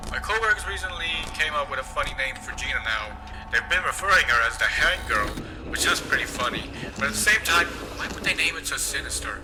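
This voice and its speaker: A man's voice: a little high, expressive, brisk, music in the background, lightly acted. A man voicing a chatty male phone guy in a horror fan game, rambling casually with fillers. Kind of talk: recorded phone message acting.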